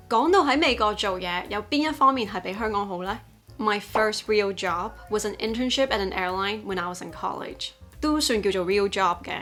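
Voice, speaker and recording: A woman's voice: mid-range voice, a bit bright. Female vlogger, young adult, Hong Kong accent, presenter-style narration with faint music underneath. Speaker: host, English teaching YouTuber. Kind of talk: vlog narration mixing Cantonese and English.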